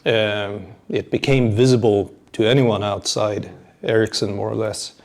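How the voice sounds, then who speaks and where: A man from Sweden, a programmer talking as a guest in a casual interview at a conference, halting with many pauses and small chuckles. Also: fairly low pitch, fairly even intonation. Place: conference venue.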